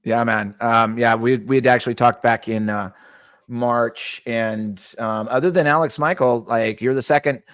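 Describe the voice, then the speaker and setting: A man's voice: medium pitch, steady tone, moderate pace, fairly bright tone, smooth, no echo, clean studio sound. Laid-back, rambling male podcast host. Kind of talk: casual interview talk.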